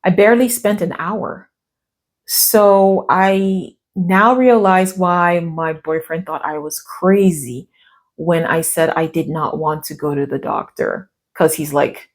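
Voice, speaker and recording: An American woman with a fairly low voice tells a personal story in a chatty way, glancing at her notes as she goes. Her voice still sounds a little worn from a recent cough. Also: fairly even intonation, takes it slowly. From United States. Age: middle-aged. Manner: chatty, reading from notes, quoting others in asides. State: recovering from a cough and lost voice. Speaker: vlogger. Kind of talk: casual storytelling to camera.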